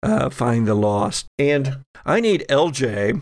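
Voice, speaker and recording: Slow, gravelly male game master. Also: mid-range voice, very animated, a little mellow, no room sound. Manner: halting, deliberate narration. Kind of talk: narrating a tabletop roleplaying game, improvised.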